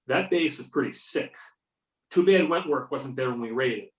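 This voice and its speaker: A man's voice: mid-range voice, lively intonation, normal speed, lightly acted. A man reading a weary, wry federal UFO investigator in amateur readers theater, with a dry, matter-of-fact delivery. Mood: casual, weary. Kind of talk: amateur readers theater over a remote call. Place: remote video or phone conference. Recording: roomy, distant call microphone.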